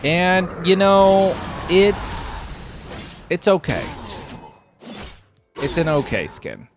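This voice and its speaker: Man with lively, swooping casual delivery. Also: high voice, drawn-out words, over music. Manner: informal, exclamatory, thinking aloud. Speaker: reaction video host. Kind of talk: casual off-the-cuff reaction commentary.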